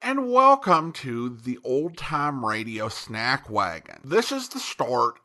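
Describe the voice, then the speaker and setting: Male podcast host, scripted intros, slow pace, crisp bright tone with lots of melodic movement. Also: mid-range voice, sing-song.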